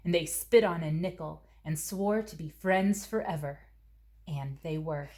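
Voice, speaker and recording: A woman narrates a children's storybook. Her voice sits a little low, and she phrases each sentence expressively and deliberately for young listeners. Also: darkish tone. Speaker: storybook reader.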